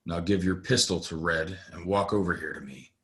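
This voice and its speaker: A man acting a calm, mysterious stranger in an Old West audio play, terse and dryly mocking. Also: low-pitched, fairly quick. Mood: cool, challenging.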